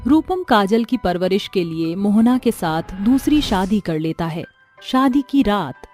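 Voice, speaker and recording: A woman narrating an animated story in a measured, even way. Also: mid-range voice, faint music behind.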